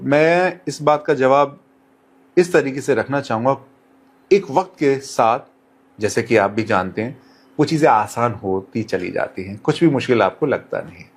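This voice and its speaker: Male radio presenter as interview guest: mid-pitched, measured advice, polite formal delivery, some English mixed in. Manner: deliberate, courteous, formal phrasing with English words mixed in.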